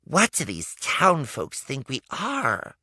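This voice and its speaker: A man's voice: medium pitch, wildly swooping pitch, takes it slowly, pushed and bright, no echo, clean studio sound, voice pushed up, full character voice. A man putting on a snappish young farm girl. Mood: annoyed. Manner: snapping, curt.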